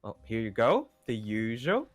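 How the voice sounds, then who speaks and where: A man's voice: medium pitch, huge pitch swings, measured pace, no echo. A man playing an easygoing young confidant in an improvised game roleplay, talking in a casual, rambling, friendly way. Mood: relaxed, tired. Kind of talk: improvised Minecraft roleplay acting. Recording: music behind the voice.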